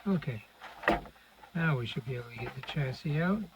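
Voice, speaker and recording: A man's voice: medium pitch, lively intonation, moderate pace, creaky. A man giving casual, unscripted commentary as he demonstrates a hands-on repair, murmuring through each step as he works. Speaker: host of a radio restoration channel. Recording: dull lo-fi audio with loud noise, clicks.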